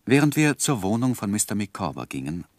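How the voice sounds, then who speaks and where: An actor playing a composed first-person narrator in a literary radio drama, measured and articulate. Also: male voice, on the low side, sing-song, dry recording. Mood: reflective. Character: composed male narrator recounting his own life story.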